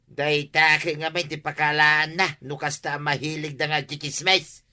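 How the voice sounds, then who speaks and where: An excitable village husband from a radio comedy, complains loudly in an Ilocano accent. The voice is very sharp and rather high, with slight noise in the recording. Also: male voice, measured pace. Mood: complaining.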